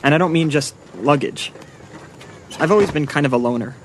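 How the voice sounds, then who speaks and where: An actor playing a wry young loner narrating a zombie apocalypse film in a deadpan way. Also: male voice, a little high, a little fast, lightly acted. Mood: wry, resigned. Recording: film clip with faint vehicle noise.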